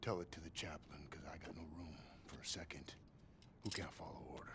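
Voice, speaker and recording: An actor playing a bitter, drunken male army sergeant who growls low and erupts into roaring shouts, in a video game cutscene. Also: brisk, a little hiss or hum. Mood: menacing.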